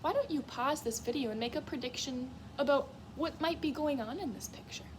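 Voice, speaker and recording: A woman teacher giving a read-aloud lesson to young children in an expressive, encouraging way, often putting questions to her listeners. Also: high-pitched, a little fast, background noise. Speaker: music teacher. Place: school classroom, in a reading corner.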